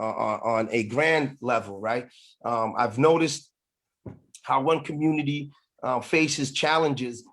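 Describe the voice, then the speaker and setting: A middle-aged man speaking as a political candidate in an online meeting, halting, with frequent pauses and repeated phrases. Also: mid-range voice. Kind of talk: campaign speech to an online meeting.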